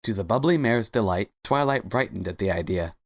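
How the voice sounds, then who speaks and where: A woman's voice: a bass voice, animated, a little fast, dry recording, clean studio sound. A woman reading scripted story narration as the narrator of a dramatic reading.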